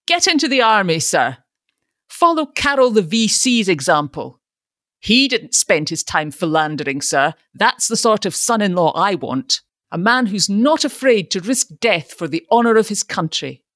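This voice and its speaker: An angry, imperious older gentleman, as a woman reader puts him on. He blusters and commands with a strongly varied pitch, like a thundering father in a storybook. The sound is dry. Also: big pitch swings, normal speed, no echo. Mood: wrathful, imperious. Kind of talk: dramatised short story reading.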